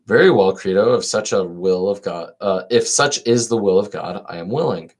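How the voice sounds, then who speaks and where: A man reading the part of a calm old philosopher resigned to death, composed, formal and questioning. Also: deep voice, normal speed.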